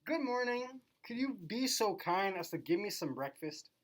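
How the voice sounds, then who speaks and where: A woman's voice: mid-range voice, big pitch swings, normal speed, low-quality recording. A woman voicing a polite, eager young boy in a children's puppet show. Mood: polite pleading.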